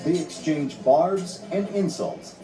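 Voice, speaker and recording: A man's voice: a little high, slow pace. A man reading a children's read-along story in a dramatic, scripted storytelling manner. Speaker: narrator. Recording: roomy, distant sound under music.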